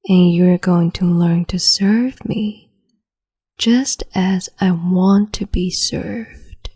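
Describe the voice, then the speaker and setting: A woman playing a cruel, capricious empress in an ASMR roleplay, whispering in a slow, teasing, domineering way. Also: lowish voice, fairly even intonation, mellow, exaggerated voice. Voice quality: breathy whisper.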